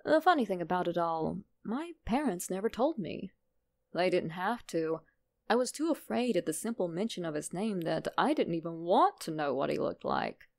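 A woman narrating a horror story in a measured, deliberate, dramatic way. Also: on the low side, animated, vocal fry.

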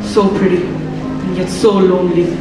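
Young man as a calm protective married man, measured, echoey hall from far off, music loud behind. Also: high voice. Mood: calm, soothing. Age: young adult. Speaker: student actor in a stage play. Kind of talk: amateur stage acting. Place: large theatre stage. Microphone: far camera in the hall.

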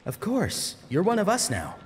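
A man's voice: high-pitched, huge pitch swings, moderate pace, slight background noise, pitched above the performer's own voice. A man voicing a spirited, friendly and easily flustered young woman rebel in an audiobook, with music behind.

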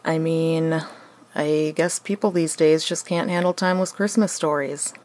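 A woman's voice: deep voice, fairly even intonation, a little slow, a little mellow. A woman playing a doubtful, deferential assistant to a god, hesitant and resigned, in a comic radio play.

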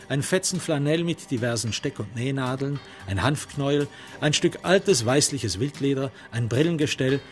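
Man, medium-pitched voice, measured literary narration, radio crime drama, dry factual delivery, music behind. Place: studio.

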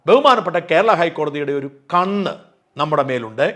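A man's voice: on the high side, expressive, brisk, sharp tone. A middle-aged man from Kerala hosting an online news channel, giving emphatic, argumentative opinion commentary drawn from his own experience.

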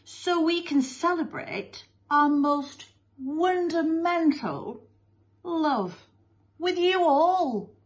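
Woman putting on a gushing, high, sickly-sweet doting mother. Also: animated, extremely slow, full character voice. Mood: proud, gushing. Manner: cooing baby talk, drawn out and melodramatic.

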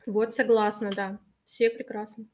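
A woman in her thirties, a little hoarse from allergies, hosting a live webinar on a video call and reading out and answering viewers' chat messages in a friendly way. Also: medium pitch, level tone, unhurried. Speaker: webinar host. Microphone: webcam on a video call.

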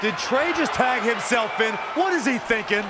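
A man's voice: extremely high pitch, expressive, moderate pace, heavy background noise. An actor voicing a sarcastic male wrestling color commentator. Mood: scornful. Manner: sarcastic, contrarian. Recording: loud music behind.

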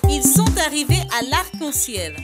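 A woman with a high voice narrates a learning cartoon for small children. She speaks in simple, expressive, teacher-like phrases. Clear background music plays under her. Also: a little slow. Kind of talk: scripted storytelling narration for preschoolers.

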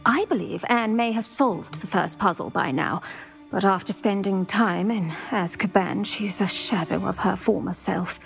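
An actress playing a stern, protective older retired witch officer in a video game, formal and measured. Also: medium pitch, vocal fry, soft background music. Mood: earnest, reassuring. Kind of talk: video game character acting.

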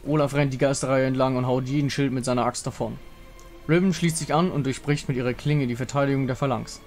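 A man's voice: mid-range voice, steady tone, fast, a little mellow. A man narrating a fantasy game story in a dramatic scripted style. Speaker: narrator reading visual novel dialogue. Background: music.

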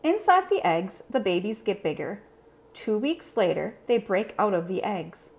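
A woman reading a children's picture book aloud in an expressive, simple storytelling manner. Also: mid-range voice, unhurried. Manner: clear, simple, expressive delivery for young children.

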